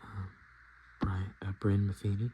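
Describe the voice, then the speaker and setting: A man speaking softly and in whispers, chatting casually as an ASMR host with an unhurried, self-deprecating manner. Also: fairly low pitch, completely flat delivery, a little hiss or hum. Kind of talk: soft-spoken and whispered ASMR, casual chat.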